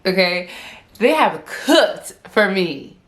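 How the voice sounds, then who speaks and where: A woman's voice: medium pitch, sing-song, takes it slowly, fairly bright tone. A woman in her twenties telling a story to camera in a chatty, rambling way, full of "like", with a stutter and repeated words. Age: 20s. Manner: chatty and rambling, heavy use of "like". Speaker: vlogger.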